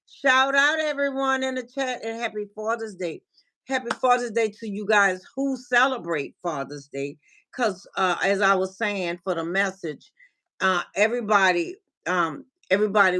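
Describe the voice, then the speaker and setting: A woman's voice: medium pitch, a bit bright. A woman from Minnesota hosting a casual livestream. She chats and greets viewers from the chat, with frequent restarts and laughter. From Minnesota, USA. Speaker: livestream host and life coach.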